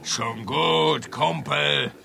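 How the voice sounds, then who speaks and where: A man's voice: on the high side, drawn-out words, creaky, full character voice. An actor playing a leering drunken barfly in a comedic radio play, slurring and chuckling as he talks. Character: leering drunken barfly making a crude pass. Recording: bar crowd behind the voice.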